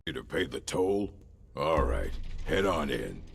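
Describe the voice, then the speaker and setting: Fantasy game brute: extremely low, gravelly, curt, menacing prison heavy. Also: male voice, sing-song, a little slow, exaggerated voice. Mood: gruff, menacing. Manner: gruff, curt. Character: huge brutish male prisoner guard, gruff and menacing.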